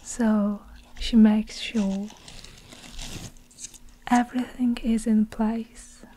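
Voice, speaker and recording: Very slow, whispering young woman. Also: a little high, flat delivery, a little hiss or hum, poor audio. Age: young adult. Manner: slow, hushed, deliberate, with long pauses. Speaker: ASMR performer narrating a story. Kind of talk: whispered ASMR story narration.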